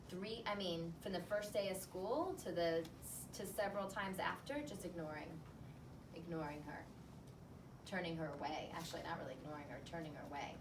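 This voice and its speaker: A woman teacher reading a picture book aloud to a class, pausing to think out loud in a conversational way. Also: on the low side. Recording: roomy, distant mic, clear background noise.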